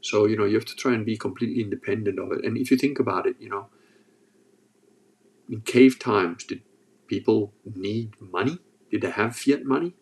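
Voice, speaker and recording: A man's voice: on the low side, normal speed, a little mellow. A man with a sore throat hosting a casual livestream, reading chat and joking as he rambles. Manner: rambling, joking, restarts often.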